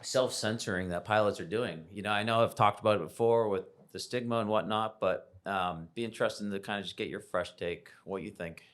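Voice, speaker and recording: An American man hosting a conversational interview, speaking in measured, thoughtful phrases. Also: fairly low pitch, a bit bright. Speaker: podcast host, interviewer.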